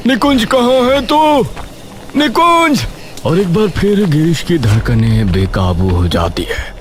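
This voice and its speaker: Slow, suspenseful male horror narrator. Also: fairly low pitch, background noise. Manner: slow, dramatic, suspenseful delivery. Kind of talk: scripted horror storytelling. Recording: music bed under voice.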